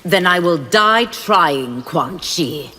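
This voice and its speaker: A woman's voice: lowish voice, lively intonation, slow, heavy background noise. A woman playing a righteous, defiant female demon warrior in a video game, speaking firmly and formally. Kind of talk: video game combat banter. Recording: echoing hall, clear music behind.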